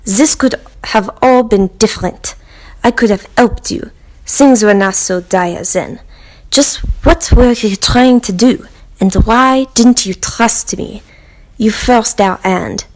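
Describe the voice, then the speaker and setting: A woman's voice: fairly high pitch, slow pace, rough recording. Woman playing a wounded, exasperated friend. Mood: hurt, angry. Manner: urgent, emotional. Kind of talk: dramatic character monologue.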